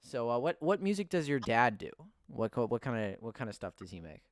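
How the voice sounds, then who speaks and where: A man's voice: mid-range voice, big pitch swings, fairly quick, no room sound, studio quality. A teenage boy hosting a casual podcast interview, with a lot of hesitant filler words. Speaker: podcast host.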